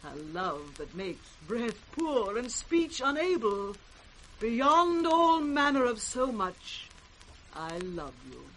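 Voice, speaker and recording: An actress playing a king's flattering eldest daughter, with a British accent and measured, effusive declamation in radio Shakespeare. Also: a little high, heavily acted, rough recording. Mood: effusive flattery. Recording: faint hiss, old radio recording.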